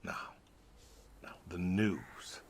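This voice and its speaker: A man's voice: low voice, extremely slow, a little mellow, in a whisper. A man playing a stoic, weary older pig farmer and war veteran in a game, laconic and folksy. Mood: reflective, subdued. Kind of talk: naturalistic video game dialogue.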